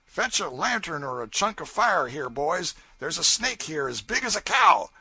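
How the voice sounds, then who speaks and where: A man voicing a rough, rowdy raftsman who talks loud and brash. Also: sits high, very animated, unhurried, dry recording, voice pushed up, full character voice.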